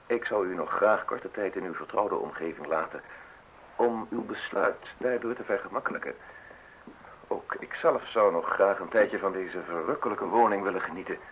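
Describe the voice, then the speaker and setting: Low-voiced, formal state official. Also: male voice, fairly bright tone. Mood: courteous, insinuating. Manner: formal, measured, courteous, deliberate phrasing. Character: polite, insinuating male state official making an arrest. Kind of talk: radio play acting. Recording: hissy, noisy old radio recording.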